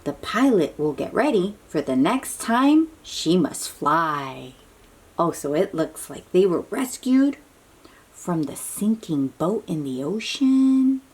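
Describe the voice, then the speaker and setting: A woman reading a picture book aloud to young children in an animated, breathy, questioning way, drawing out her words. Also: medium pitch. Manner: animated, drawn-out, questioning delivery aimed at little children. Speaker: children's read-aloud host.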